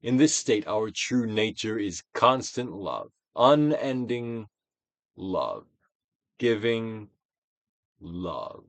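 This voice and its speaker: A man with a low voice reads aloud like a stage actor giving a dramatic recital. His delivery is solemn and declamatory, with wide swings of intonation. The sound is close and dry, with very little room around it. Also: sing-song, extremely slow, dry recording. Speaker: actor reading a book aloud. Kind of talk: dramatic audiobook reading, theatrical Shakespearean delivery.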